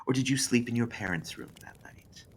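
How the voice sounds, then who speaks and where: A man's voice: mid-range voice, animated, brisk, slight background noise, voice pushed up, natural voice. A man voicing a creepy, smiling, thin male stranger who needles with mocking questions in a horror story. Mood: needling. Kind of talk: audiobook-style character voice in a horror story.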